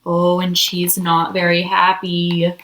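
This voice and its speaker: A woman's voice: fairly low pitch, completely flat delivery, slow pace, a bit bright. A woman reads a children's picture book aloud expressively, putting questions to young listeners. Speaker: storybook reader. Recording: echoey room.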